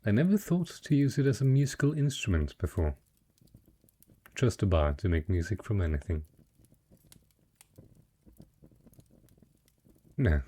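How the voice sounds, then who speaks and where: A man playing a wealthy, suave and possessive merchant patron in a romantic fantasy audio roleplay, speaking in a courtly, husky voice straight to the listener. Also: on the low side, dark tone. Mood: amused, flirtatious. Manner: courtly, formal, smooth-talking flirtation.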